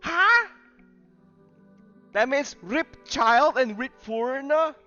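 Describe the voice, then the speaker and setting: Stammering, animated man commentating on a game. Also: extremely high pitch, muffled recording, soft background music. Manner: halting, stammering, repeats words. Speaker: gaming streamer. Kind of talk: casual live gameplay commentary.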